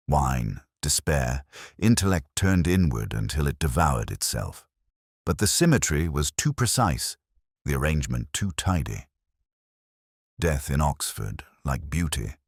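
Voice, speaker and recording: A man with an extremely low voice narrates a detective story in a solemn, literary way. His delivery is measured, yet the pitch moves about with real variety. The sound is close and dry. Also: dry recording. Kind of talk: scripted first-person detective narration.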